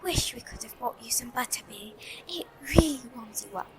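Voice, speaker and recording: A woman's voice: a little high, expressive, moderate pace, heavy background noise. A woman voicing an earnest, sensible young schoolgirl witch in a fan audio drama. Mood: friendly, curious.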